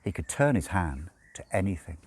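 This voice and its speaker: A man reading a novel aloud as expressive narration, with measured, dramatic phrasing and deliberate pauses. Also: sits low, husky. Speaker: audiobook reader.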